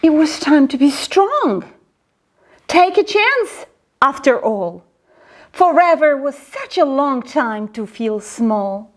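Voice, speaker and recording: A woman's voice: high-pitched, sing-song, takes it slowly. A woman reading a children's storybook aloud dramatically, speaking directly to young listeners. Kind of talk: expressive storybook read-aloud.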